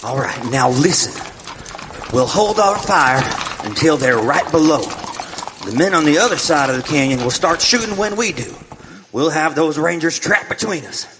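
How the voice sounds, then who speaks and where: A man's voice: a little high, lively intonation, moderate pace, background noise, lightly acted. An actor playing a ruthless outlaw gang boss in a western radio drama, giving folksy orders to his men. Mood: commanding, menacing.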